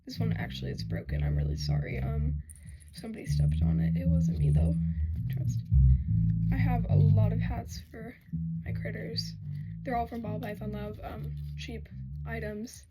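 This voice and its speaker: A young woman with a hoarse, raspy voice she says she has lost, rambling casually through a pet Q&A vlog at home with lots of ums and apologies. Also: very animated, normal speed, low-quality recording. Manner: rambling, self-correcting, many "um"s, apologetic. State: hoarse, losing her voice. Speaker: pet vlogger answering questions. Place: bedroom at home. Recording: home recording with loud bass music behind. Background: loud bass guitar playing.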